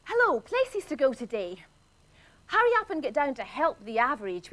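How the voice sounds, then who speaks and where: An actress playing a chirpy woman radio announcer with bright, sing-song delivery. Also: on the high side.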